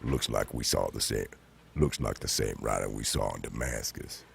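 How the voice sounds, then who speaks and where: A man performs a big, grave, calm man for an audio drama. The bass is very deep and creaky. A noisy internet call carries it. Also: moderate pace, exaggerated voice. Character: deep-voiced big man calmly observing ancient writing.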